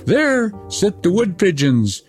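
A man's voice: high voice, sing-song, takes it slowly, music in the background, voice pushed up, heavily acted. A man voicing a fierce, wild little robber girl who talks in a bossy, threatening way. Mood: boastful.

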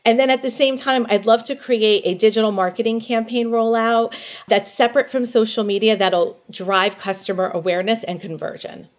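A woman's voice: on the high side, steady tone, normal speed. A middle-aged woman calling in to a podcast advice line, describing her food business in a poised, articulate way. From New Jersey. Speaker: caller. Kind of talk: call-in podcast advice segment.

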